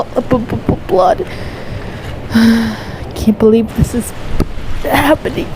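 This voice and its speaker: A woman's voice: on the high side, background noise. A woman playing a stammering, frightened weather service spokesperson in an amateur emergency broadcast roleplay. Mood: shivering, distraught. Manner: stammering, halting. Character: shivering, terrified National Weather Service spokesperson, pleading.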